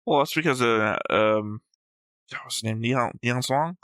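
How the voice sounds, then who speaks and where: A man's voice: mid-range voice, measured pace, a bit bright, clean tone, no echo, clean studio sound. A man co-hosting an anime reaction show, talking through episode notes casually and bluntly, with some swearing.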